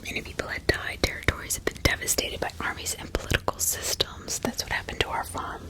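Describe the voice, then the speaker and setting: Whispering woman hosting ASMR. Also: quick pace. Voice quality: breathy unvoiced whisper with some crackle. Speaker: ASMR host. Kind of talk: whispered ASMR role-play and reading.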